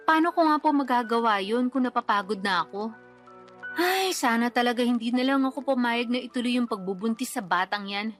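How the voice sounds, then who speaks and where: High-voiced, exhausted young single mother. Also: animated, fairly quick, fairly bright tone, faint music behind. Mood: frustrated. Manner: plaintive, complaining. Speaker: radio drama actress. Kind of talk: Tagalog radio drama acting.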